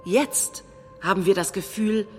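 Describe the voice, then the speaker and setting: A woman acting in documentary theatre, playing a combative, self-assured nationalist leader giving a measured speech. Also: mid-range voice, a little mellow, lightly acted. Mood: measured, defiant. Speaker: actor in a political documentary theatre piece. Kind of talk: staged reading of a political speech. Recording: long hall reverb, faint music.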